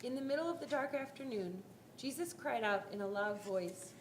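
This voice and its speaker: A woman narrating a scripted dramatic Bible reading, telling the story in a deliberate, expressive way. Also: fairly high pitch, slight background noise. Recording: roomy live hall, faint music.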